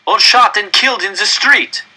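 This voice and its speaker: A man voicing frightened, angry, starving townspeople in a story, shouting in protest from a crowd. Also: normal speed, slightly rough, exaggerated voice, rough recording. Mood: fearful. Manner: high, loud, protesting shouts.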